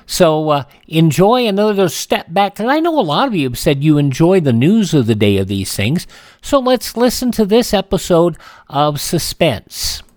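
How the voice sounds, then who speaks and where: A man's voice: on the high side, sing-song, normal speed, darkish tone, dry recording, clean studio sound. A man hosting a radio show, introducing programmes in a presenterly, conversational style. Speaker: classic radio show host.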